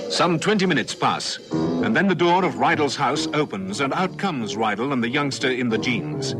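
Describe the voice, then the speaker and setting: A man's voice: medium pitch, sing-song, moderate pace, close to an everyday voice. A South African man narrating a police radio serial in a scripted, dramatic style. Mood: measured suspense. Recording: vintage radio recording, loud music.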